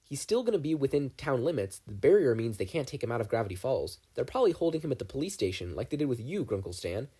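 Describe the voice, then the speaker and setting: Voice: mid-range voice, sing-song, warm tone, husky. A reader voicing an anxious, guilt-ridden teenage boy. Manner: anxious, rapid questions.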